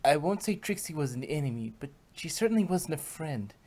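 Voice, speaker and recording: A woman's voice: low voice, very animated, brisk, a little mellow. A woman voicing a studious, kindly young female pony who speaks earnestly and reflectively, in a fan fiction reading.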